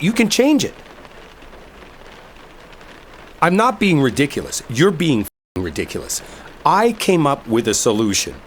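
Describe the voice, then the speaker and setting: A man acting in a roleplay audio drama as an exasperated, sarcastic guy stranded with a coworker he dislikes. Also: medium pitch. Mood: sarcastic, irritated. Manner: sarcastic, heated, swearing. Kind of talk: roleplay audio drama, one side of an argument. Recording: steady rain noise behind voice. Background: rainstorm ambience.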